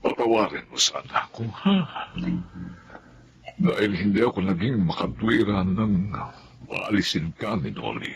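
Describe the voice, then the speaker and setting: An actor playing an older wealthy employer who speaks gently and apologetically in a radio drama. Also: male voice, lowish voice, big pitch swings, measured pace, gravelly, background noise, full character voice. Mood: remorseful. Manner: apologetic, soft-spoken.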